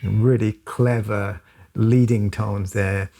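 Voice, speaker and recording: A man from South Wales, calmly breaking down chords and modes in a music lesson. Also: fairly low pitch, takes it slowly. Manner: relaxed, thoughtful, casual with fillers. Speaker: music teacher. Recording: song playing loudly behind.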